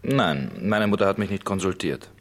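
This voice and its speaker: An actor playing a reserved, formal gentleman lawyer in a radio play, curt and guarded. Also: low voice, moderate pace.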